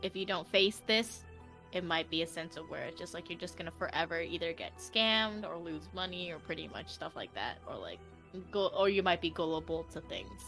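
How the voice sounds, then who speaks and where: A woman's voice: fairly low pitch, moderate pace, a bit bright, faint music behind. A woman hosting a casual live stream as a tarot reader, giggly and informal in manner.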